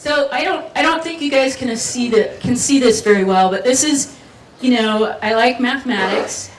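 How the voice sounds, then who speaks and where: Woman, festival speaker, playful chatty talk, higher voice, echoey hall, distant mic. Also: normal speed, slight background noise. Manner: playful and chatty, with laughs and audience participation. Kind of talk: keynote talk to a live audience. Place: community event venue. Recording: roomy hall sound from far off, faint ambience.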